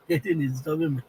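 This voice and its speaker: A Nigerian man with a heavy cold and a strained throat, hosting a political live-stream broadcast and clearing his throat often. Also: fairly high pitch, warm tone, a little hiss or hum, low-quality recording. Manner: keeps clearing his throat, repetitive and insistent.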